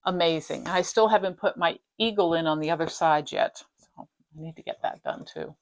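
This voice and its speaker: A woman talks through her stitching projects in a casual, rambling way, often breaking off mid-thought. Her voice sits a little low and has a slight rasp from a dry throat. She speaks at home in her craft room. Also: moderate pace. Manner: chatty, rambling, self-interrupting. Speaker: flosstube host. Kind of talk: casual show-and-tell chat.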